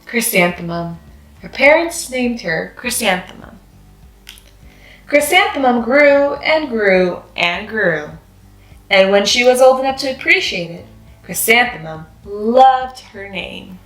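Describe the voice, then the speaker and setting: A woman narrating a children's read-aloud as host, with expressive, deliberate delivery for children. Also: on the high side, roomy sound, soft background music, rough recording. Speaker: storybook reader, channel host.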